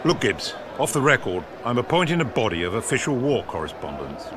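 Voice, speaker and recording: Man acting a gruff, animated wartime official. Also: medium pitch, a little fast, lightly acted. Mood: brisk, cautioning. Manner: clipped, authoritative, dry. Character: gruff, opinionated senior government propaganda official. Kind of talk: period audio drama acting. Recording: outdoor sports crowd and noise behind.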